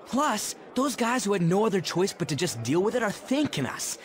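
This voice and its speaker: A man playing a brash, hot-headed teenage delinquent with rough, slangy, blunt speech in a video game. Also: high voice, sing-song, moderate pace, slight background noise. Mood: earnest, thoughtful. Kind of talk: English video game voice acting. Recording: music behind the voice.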